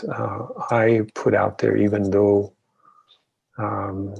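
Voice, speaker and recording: A middle-aged man from Iran, an artist, speaking hesitantly with many pauses and fillers in a panel conversation. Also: lowish voice, level tone, creaky, darkish tone, slightly rough, highs cut off.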